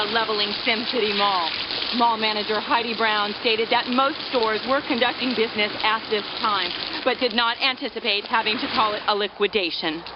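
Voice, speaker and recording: High-voiced deadpan TV newswoman, played by an actress. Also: very noisy, close to an everyday voice. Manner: deadpan, polished newsreader delivery. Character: chipper deadpan female local TV news anchor. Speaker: news anchor. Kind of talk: scripted parody TV news report. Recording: dull lo-fi game audio, music behind.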